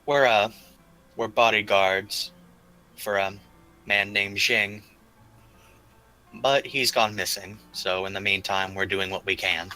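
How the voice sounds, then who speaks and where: Courteous mercenary bodyguard, played by a man: lower male register, bright forward tone, tentative phrasing. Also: fairly even intonation, takes it slowly, long pauses, faint music behind, low-quality recording. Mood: polite, businesslike. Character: polite male mercenary bodyguard and travelling peddler.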